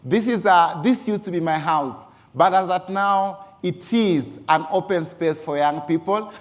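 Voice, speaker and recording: A man's voice: sits high, a little slow. A man from Kenya with a Kenyan accent, a youth organization founder giving a personal presentation and often stressing "actually". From Mombasa, Kenya. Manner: frequent emphatic use of "actually". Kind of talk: personal presentation with photo slides.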